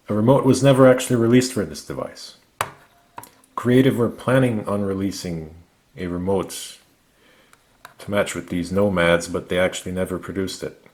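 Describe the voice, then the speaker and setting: A man narrating a product review, explaining things methodically in short, measured phrases. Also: sits low. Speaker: gadget reviewer.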